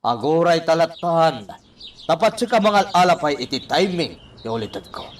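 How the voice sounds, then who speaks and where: A man's voice: fairly high pitch, lively intonation, moderate pace, a little hiss or hum, lightly acted. An actor playing a greedy, scheming young man in an Ilocano radio drama. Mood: calculating. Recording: slightly distant from microphone.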